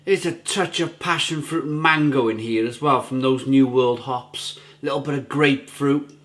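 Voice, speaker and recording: Gruff-voiced enthusiastic beer reviewer man. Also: mid-range voice, moderate pace, fairly bright tone. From England. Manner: enthusiastic, savoring, chatty with repeats. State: gruff, rough throat. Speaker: beer reviewer, channel host. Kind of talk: casual review to camera.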